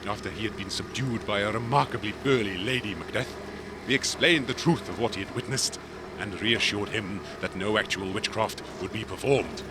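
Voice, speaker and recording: A man playing a courtly lion, an old-fashioned gentleman actor with a florid, pompous, wordy way of talking in a comedy audio drama. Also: lowish voice, normal speed, background noise, lightly acted. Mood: grandiose storytelling.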